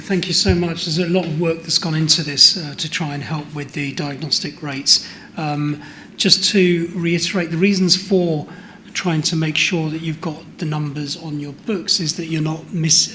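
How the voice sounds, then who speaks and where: A man chairing a formal meeting, polite and brisk as he thanks speakers and hands over. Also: on the high side, bright, forceful tone, a little hiss or hum.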